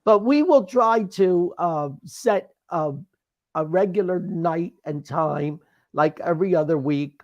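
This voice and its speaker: A man in his sixties from the Philadelphia Main Line, co-hosting a casual live chat in a courtly, playfully flattering manner. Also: high voice, slow, dull audio. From Philadelphia Main Line, USA. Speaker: co-host.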